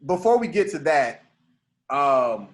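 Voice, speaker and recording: A man's voice: fairly high pitch, sing-song, takes it slowly. Yo, 30-something guy hosting a chill podcast, voice bounces up and down, keeps laughing and saying right right. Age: 30s. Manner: laughs often, repeats "right, right". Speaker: podcast host. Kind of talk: casual interview chat.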